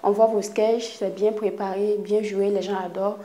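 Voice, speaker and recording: Woman host asking questions, mellow darkish voice, lots of "ok" and "d'accord". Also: medium pitch, little pitch movement, normal speed. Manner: short acknowledgments, long probing questions. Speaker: interviewer host. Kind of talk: interview questions.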